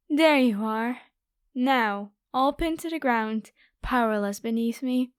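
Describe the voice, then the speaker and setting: Haughty, high-voiced predatory vampire girl, acted by a woman. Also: slow, clear, resonant tone, dry recording, clean studio sound. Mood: mocking, domineering. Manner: taunting, theatrical, playful. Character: haughty, predatory noble vampire girl hunting human prey. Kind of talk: ASMR roleplay acting.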